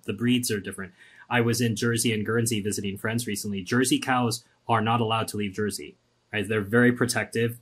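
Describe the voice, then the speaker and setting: A man's voice: mid-range voice, slightly rough. A man from Singapore, a podcast guest, talking casually and acting out quoted people with voices. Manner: animated, acts out quotes with voices. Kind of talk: podcast interview, casual storytelling.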